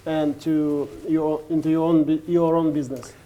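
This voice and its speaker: Russian guy hosting in english, kinda high warm voice, lots of restarts. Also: level tone. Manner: halting, restarts, casual. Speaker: host. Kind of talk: interview questions.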